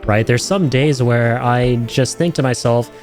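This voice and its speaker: A man's voice: medium pitch, unhurried, a little mellow. Enthusiastic man reacting, music behind. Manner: effusive, rambling, addresses viewers as folks. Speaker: video host reacting to game trailers. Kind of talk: casual enthusiastic reaction commentary.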